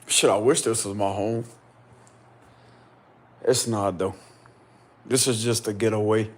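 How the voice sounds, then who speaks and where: A man vlogging casually and insistently from a small rented room, with a deep, loud voice. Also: a little slow. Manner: excited, insistent, slangy. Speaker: spiritual vlogger. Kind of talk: casual vlog to camera.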